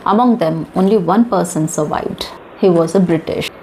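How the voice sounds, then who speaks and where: A woman with an Indian accent giving informal news commentary in halting, emphatic short phrases, often posing rhetorical questions. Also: fairly low pitch, a little mellow, rough recording. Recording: clear background noise.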